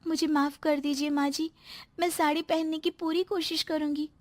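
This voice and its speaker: A woman voicing a sweet, timid young daughter-in-law in a cartoon story, speaking in a high, pleading, fearful way. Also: little pitch movement, normal speed, natural voice.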